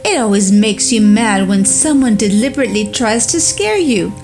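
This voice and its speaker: A woman voicing a young boy who talks in a high, eager way, over music. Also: animated, normal speed, darkish tone, lightly acted. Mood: indignant. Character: young boy, curious then bold. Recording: music playing underneath.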